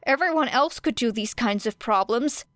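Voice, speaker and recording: A woman's voice: fairly high pitch, normal speed, no room sound. Woman narrating a fan audiobook. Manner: steady, even storytelling. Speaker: podfic reader.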